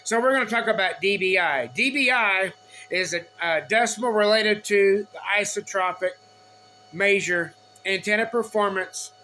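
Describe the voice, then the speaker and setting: High, bright-voiced man explaining over background hum. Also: poor audio. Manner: halting, repetitive, reads in short phrases. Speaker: explainer of radio antenna measurements. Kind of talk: informal explainer reading from notes.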